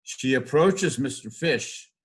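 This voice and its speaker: An older man reading a rhyming picture book aloud to children in an expressive, sing-song rhythm. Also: medium pitch, takes it slowly, husky.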